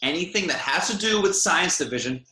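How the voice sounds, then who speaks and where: A man's voice: on the high side, expressive, a little fast, bright, forceful tone. Man playing a brusque captain in an echoey room. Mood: resigned. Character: weary, brusque male spaceship captain. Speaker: actor reading spaceship captain in online table read. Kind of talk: amateur script table read.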